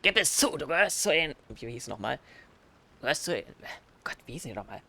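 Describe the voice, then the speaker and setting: A man's voice: a little high, very animated, a little fast, creaky, pushed and bright, a little hiss or hum. A man joking and mocking the game as he comments on it. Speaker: let's play host.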